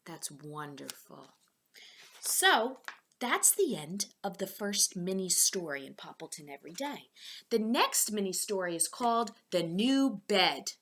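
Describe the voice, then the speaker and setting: Lady teacher reading a kids book out loud, bright n slow, chats to the kids as she goes. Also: mid-range voice, slight background noise. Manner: expressive, addresses young listeners directly. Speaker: teacher reading aloud to children. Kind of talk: children's storybook read-aloud with asides.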